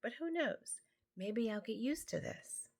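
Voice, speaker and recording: A woman voicing an easygoing, homesick old male alley cat in a storybook, with wistful, drawn-out delivery. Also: fairly high pitch, animated, vocal fry, pitched above the performer's own voice, exaggerated voice. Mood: easygoing musing. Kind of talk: storybook character voice.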